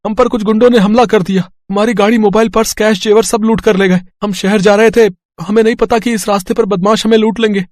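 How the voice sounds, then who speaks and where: A man's voice: high voice, steady tone, brisk, hardly any pauses, darkish tone, dry recording, clean studio sound, pitched above the performer's own voice, close to an everyday voice. A man voicing a desperate robbed traveller who pleads in a raised, strained voice. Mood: pleading.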